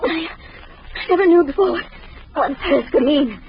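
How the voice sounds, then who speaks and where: An actress playing an anxious young doctor's wife, breathless and emotional, in 1940s radio acting. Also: female voice, high-pitched, animated, normal speed. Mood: wistful. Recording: dull old radio recording with hiss.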